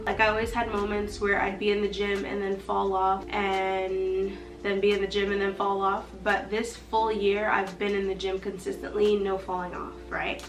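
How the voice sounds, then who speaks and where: A woman's voice: mid-range voice, a bit bright, far from the mic. A young woman chatting casually to camera in a vlog, a little nasal from allergies and acting out her own past reactions. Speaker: beauty vlogger. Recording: roomy echo, slightly off-mic, faint music.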